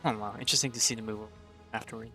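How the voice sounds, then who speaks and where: A man's voice: fairly low pitch, steady tone, very fast, bright, forceful tone. A man giving casual live commentary as he streams a game. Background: faint game music.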